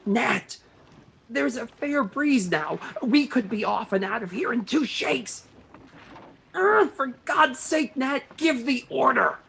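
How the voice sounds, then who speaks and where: A woman's voice: high-pitched, slow, vocal fry, a little hiss or hum, full character voice. A woman playing an anxious, eager young ship's mate with urgent delivery in an amateur radio play. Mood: anxious pleading. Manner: urgent, excitable.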